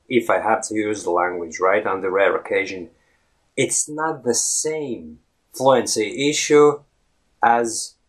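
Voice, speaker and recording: A man in his thirties, a native Latvian speaker, talking to camera as an English fluency coach in a conversational way, often checking in with a quick "right?". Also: medium pitch, husky. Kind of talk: talking-head video lesson. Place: indoors in front of a green screen. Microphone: close camera, head-and-shoulders framing.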